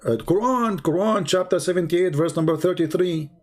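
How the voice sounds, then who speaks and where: A man's voice: sits high, moderate pace. A man hosting a heated call-in debate, mocking and pressing the same question again and again. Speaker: debate host.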